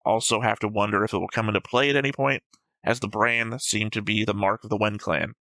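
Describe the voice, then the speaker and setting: A man's voice: on the low side, fairly even intonation, a little fast, pushed and bright, no echo. A man co-hosting an anime reaction show, talking through episode notes casually and bluntly, with some swearing.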